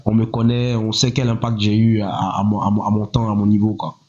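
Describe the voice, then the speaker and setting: A man in his thirties from Côte d'Ivoire, a rapper speaking in a measured, self-assured way, often pausing on "voilà" and asking himself rhetorical questions. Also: lowish voice, level tone, darkish tone. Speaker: rapper, interview guest. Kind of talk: casual interview talk. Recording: slightly muffled, lo-fi sound.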